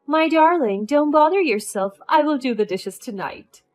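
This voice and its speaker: A woman's voice: sits high, lively intonation, normal speed, darkish tone, faint music behind, pitched above the performer's own voice. A woman playing a fake-sweet, mocking mother-in-law. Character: scheming, spiteful older mother-in-law woman.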